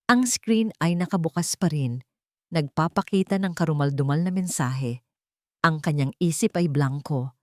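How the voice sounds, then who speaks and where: A woman's voice: fairly low pitch, darkish tone, no room sound, clean studio sound. A woman storyteller reading a scripted love drama in a dramatic narration style.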